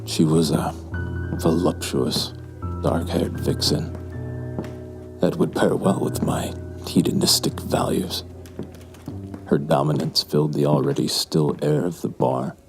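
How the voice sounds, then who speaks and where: An actor playing a hard-drinking, lustful barfly in a noir audio drama, with a slow, breathy, brooding delivery. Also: male voice, a bass voice, fairly even intonation. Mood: brooding, infatuated. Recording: music bed behind the voice.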